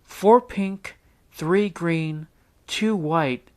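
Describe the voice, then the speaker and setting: A man voicing an eager little brother in a storybook read-aloud, with an excited, chirpy tone. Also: on the high side, extremely slow, pitched above the performer's own voice.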